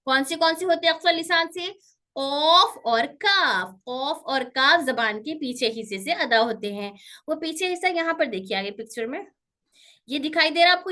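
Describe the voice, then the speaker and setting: Woman tutor, high and bright, patient, repeats terms, quizzes students. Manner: patient, repeats each term with its English gloss; checks on students with questions. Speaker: teacher of Quranic pronunciation. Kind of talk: online class lecture.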